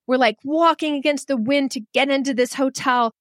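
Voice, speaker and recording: A middle-aged woman telling a personal story in a frank, animated and self-deprecating way. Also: high-pitched, moderate pace, no room sound, studio quality. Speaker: storyteller guest.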